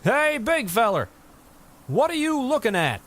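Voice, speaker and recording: A man voicing James, a grown camper who yells hard into the wind from a mountaintop. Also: extremely high pitch, sing-song, unhurried, voice pushed up, full character voice. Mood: shouting. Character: grown man camping, shouting across mountains.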